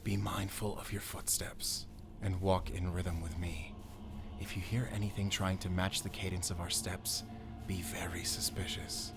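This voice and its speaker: A stern, secretive wolf hunter in a gothic audio drama. He has a low voice and a German accent, and speaks in terse, commanding phrases. Prominent music plays behind him. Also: flat delivery, measured pace, vocal fry. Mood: grave, hushed, wary. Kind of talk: cinematic audio drama acting.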